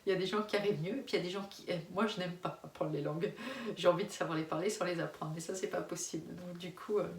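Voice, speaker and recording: A woman from France, in her forties, talks to her camera in a loose, candid way, circling back and restarting her sentences and often breaking into laughter. Her voice sits a little low. It is recorded in a reverberant room from some distance away, with a faint ambient hiss behind it. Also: steady tone, rapid-fire. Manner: frank, rambling, many restarts, laughs often. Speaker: expat family vlogger. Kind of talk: casual face-to-camera chat.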